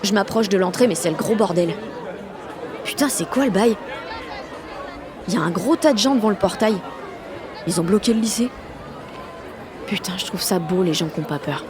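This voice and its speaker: A woman's voice: mid-range voice, expressive, normal speed, long pauses, crowd noise, natural voice. An actress playing a sullen sixteen-year-old French village girl, narrating an audio drama in blunt, sardonic slang full of swearing. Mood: detached, sardonic. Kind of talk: first-person audio fiction narration in teen slang.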